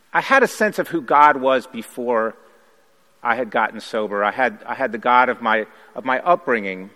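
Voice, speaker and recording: A man in his middle pitch range, whose native tongue is Haitian Creole, speaks as a pastor sharing his own story. His delivery is earnest and measured, with a reflective, unhurried feel. His voice carries a long reverb, as if spoken in a large hall. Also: pushed and bright. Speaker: priest and pastor. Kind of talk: personal recovery testimony.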